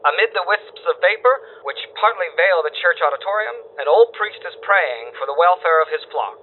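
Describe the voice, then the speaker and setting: An actor playing a doomed wire operator who reports horrors in solemn, theatrical, formal phrases through a radio filter, in a horror drama. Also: male voice, high voice, expressive, moderate pace, slight background noise. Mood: grave reporting. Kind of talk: dramatic horror story dispatch reading. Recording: thin phone-like radio band. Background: music.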